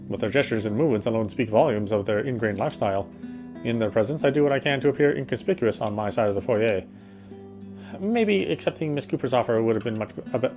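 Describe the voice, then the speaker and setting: A man reading scripted first-person narration from a horror visual novel in a measured, literary manner. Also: medium pitch, darkish tone. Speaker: player reading the narration aloud.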